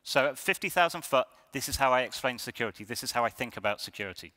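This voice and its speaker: Bright-voiced man speaking in a hall. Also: mid-range voice, animated, fast talker. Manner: conversational, many ums and restarts. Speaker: conference speaker. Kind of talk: conference talk. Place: lecture hall. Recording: reverberant hall.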